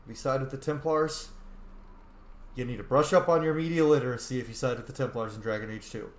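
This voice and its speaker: A man's voice: mid-range voice, quick pace. A young man playing a video game and commenting casually in a chatty, opinionated way, often talking over the game. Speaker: player and commentator. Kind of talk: casual let's play commentary. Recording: echoey room with faint noise.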